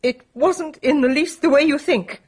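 An actress in a radio drama playing a guarded, self-dramatising British widow with theatrical intensity. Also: female voice, sits high, normal speed, darkish tone, lightly acted. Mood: tragic.

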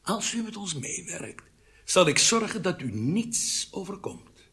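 An actor playing a menacing Egyptian intelligence interrogator in a radio drama, commanding and deliberate. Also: male voice, high-pitched, big pitch swings, sharp tone, full character voice. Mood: stern, demanding.

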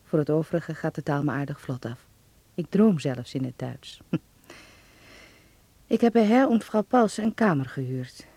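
Chatty guy reading his letter home, radio play, mellow voice, very dry close sound. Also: fairly high pitch, animated, moderate pace, pauses often, breathy edge, no room sound. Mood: light, confiding. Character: letter writer reporting chattily home from Berlin.

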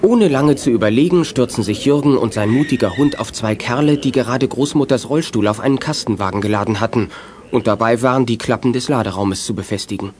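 A man's voice: mid-range voice, brisk, darkish tone, a little hiss or hum. Casting: male narrator, mid-range voice, scripted storytelling for a youth radio play. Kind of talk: scripted storytelling narration for children's audio drama.

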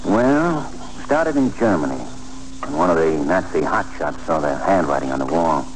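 An actor playing a shrewd, folksy police detective with wry, slangy talk in a 1940s radio drama. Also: male voice, extremely low pitch, lively intonation, moderate pace. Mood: wry, knowing. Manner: slangy, wry, clipped phrasing. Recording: humming, noisy 1940s radio recording.

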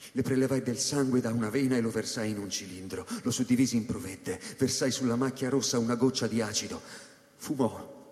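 An actor playing a troubled male scientist who tells his story in a radio drama, with deliberate, intense, pausing delivery in a reverberant space. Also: medium pitch, darkish tone, close to an everyday voice. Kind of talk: dramatic first-person narration, radio drama. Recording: echoey hall with long reverb.

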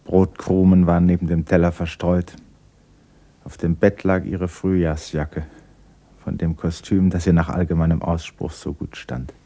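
A man narrating a literary radio play in a husky, measured, sombre manner. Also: sits low, flat delivery, dark tone.

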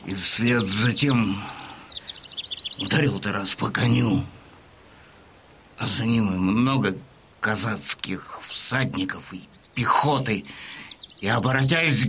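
Slow, solemn Cossack storyteller. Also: male voice, medium pitch, pushed and bright, slight background noise. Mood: sorrowful, solemn. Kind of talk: dramatised audiobook narration.

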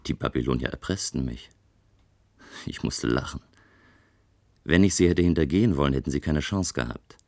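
A very low male voice carries this science fiction radio play. a composed, self-assured inventor of games from a future society. His delivery is articulate, measured and reflective. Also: expressive, darkish tone, full character voice. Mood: reflective, weary. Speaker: narrator and lead character in a radio play. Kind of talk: scripted German radio drama, first-person narration.

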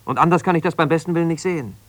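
An actor playing an embittered, ambitious lawyer husband who talks in an argumentative, colloquial way in a naturalistic radio play. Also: male voice, a little high, racing, fairly bright tone, no room sound, lightly acted. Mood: angry, frustrated. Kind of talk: naturalistic radio play, one side of a phone call.

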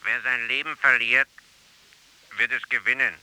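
A man's voice: medium pitch, steady tone, moderate pace, heavy background noise, close to an everyday voice. An actor playing a solemn, mysterious man speaking in aphorisms in a radio drama. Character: solemn mysterious male voice from the dark.

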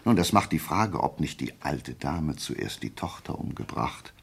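A brilliant detective reasons aloud in a very deep, creaky voice. His delivery is deliberate and animated, with emphatic pauses and a self-assured, pedantic air. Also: exaggerated voice. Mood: methodical reasoning. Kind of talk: German radio play acting.

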